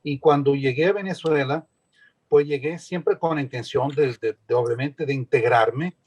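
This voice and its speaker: A man's voice: mid-range voice, moderate pace, darkish tone, slightly rough, highs cut off. A man in his sixties, born in Portugal, speaking as a guest on a video-call panel in a casual, hesitant way, often repeating words as he starts a thought. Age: 60s. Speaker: guest panelist, community activist. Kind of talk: casual discussion.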